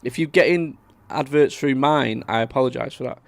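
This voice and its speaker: A man's voice: medium pitch, normal speed, creaky, no echo. A man, chatting casually to viewers on a live stream and reading out their comments. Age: 30s. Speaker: livestream host.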